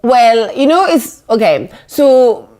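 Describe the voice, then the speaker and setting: A young woman with a French accent, a blogger being interviewed, chatting casually and telling animated stories with mock voices for the people in them. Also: a little high, slow pace. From France. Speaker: guest, blogger.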